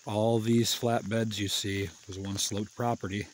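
A man's voice: lowish voice, measured pace, a little hiss or hum. A man in his fifties gives a casual, rambling walking tour of his hillside garden, talking into a phone held at arm's length outdoors. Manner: relaxed, rambling, plain-spoken. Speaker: permaculture farmer. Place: outdoors on a wooded terraced hillside.

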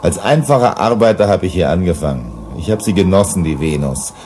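Blunt colony boss, low voice, gruff, self-assured, radio drama, slight noise. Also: male voice, animated, brisk, a little mellow. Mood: irritated. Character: blunt hard-nosed mining company boss on Venus. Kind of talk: German radio play acting.

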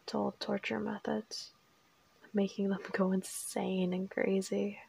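Female assassin kidnapper, acted by a woman, audio roleplay, breathy whispering, fry-laden, fairly flat intonation, teasing, coolly menacing. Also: mid-range voice. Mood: menacing, boastful. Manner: taunting, unhurried, coolly threatening.

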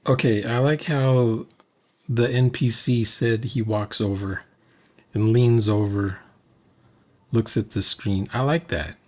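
A man's voice: mid-range voice, steady tone, slow, a little mellow. A man giving casual running commentary as a reviewer of a game session. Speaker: reaction commentator.

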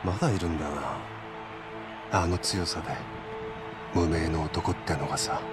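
An actor voicing a playful, arrogant and menacing young yakuza patriarch in a video game, with a languid, teasing drawl. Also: male voice, extremely low pitch, unhurried, loud music behind the voice. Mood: amused, mocking. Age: young adult. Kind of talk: cinematic video game acting.

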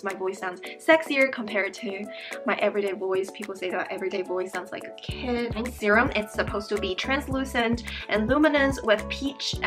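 A young woman talking casually to camera in her bedroom while doing her skincare, chatty and bubbly, her voice slightly hoarse. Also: a little high. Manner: chatty and bubbly, repeats words. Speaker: beauty vlogger and teacher. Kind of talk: casual get-ready-with-me chat. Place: bedroom with dresser and fairy lights. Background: background music.

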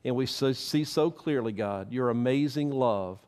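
A man's voice: medium pitch, moderate pace, darkish tone. A middle-aged man preaching from a church stage, fervent and emphatic, wearing a headset mic. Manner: fervent preaching with rising emphasis, stands and gestures. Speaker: pastor. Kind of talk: sermon and closing prayer.

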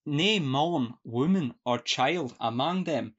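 A man's voice: mid-range voice, lively intonation, slow. A man acting a cheerful, sly young Scottish country lad in broad dialect for a farcical radio play. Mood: cheerful, reassuring. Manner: folksy, earnest, broad dialect. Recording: dull lo-fi home recording.

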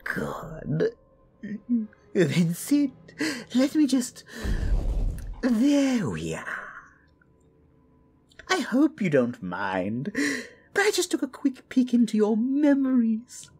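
A woman's voice: a little high, wildly swooping pitch, dark tone, slight background noise, pitched above the performer's own voice, exaggerated voice. A woman voicing a genteel, sly witch in an audio roleplay, coaxing and knowing, drawing out her words theatrically. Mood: probing, knowing. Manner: drawn-out, coaxing, calls listener dear.

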